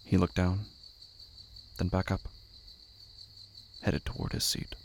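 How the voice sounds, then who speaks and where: Man as gravelly, low, somber storyteller. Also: monotone, heavily acted. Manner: measured, clipped phrases. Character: somber, detached storyteller of a horror tale. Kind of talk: scripted literary narration. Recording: faint cricket-filled background noise.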